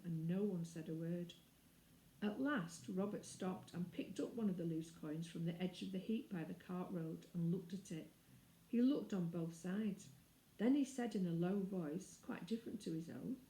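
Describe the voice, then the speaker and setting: A woman reading a children's story aloud as an expressive narrator. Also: medium pitch. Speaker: storybook reader. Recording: roomy indoor echo.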